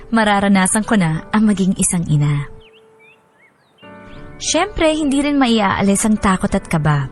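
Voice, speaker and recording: A woman's voice: mid-range voice, lightly acted. An actress playing a young Filipino wife who recounts her story in earnest, confiding dramatic narration. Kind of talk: first-person dramatic narration. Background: soft music.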